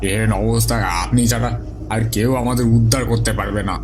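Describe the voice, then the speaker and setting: Desperate wounded villager voiced by a man, lowish voice, halting and pleading, horror audio drama, noisy ambience. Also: fairly even intonation, natural voice. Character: desperate injured village man begging to be rescued. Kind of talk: horror audio-story acting.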